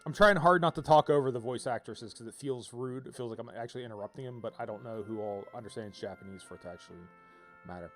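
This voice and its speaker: A man's voice: mid-range voice, fast, soft background music. A man giving casual, rambling game livestream commentary, with wry playful asides as he reads on-screen text aloud.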